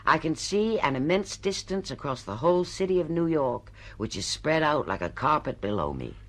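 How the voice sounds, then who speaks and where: Radio drama: a pushy, domineering female editor, brisk and emphatic, with very animated intonation. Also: sits low. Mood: bossy, instructing.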